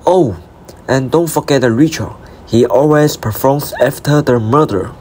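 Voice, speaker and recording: A man's voice: medium pitch, measured pace, darkish tone, slight background noise, natural voice. A man acting a cautious, loyal detective's assistant in a student radio drama, reasoning in a hesitant, deferential way. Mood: inquisitive.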